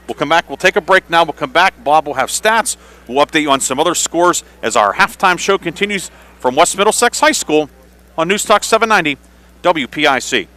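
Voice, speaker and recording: A man calls a high school game for radio at halftime. His voice is bright and sits slightly high. He talks in halting bursts, circling back over his phrases as he hosts. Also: animated. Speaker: radio sports play-by-play host. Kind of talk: live halftime sports commentary.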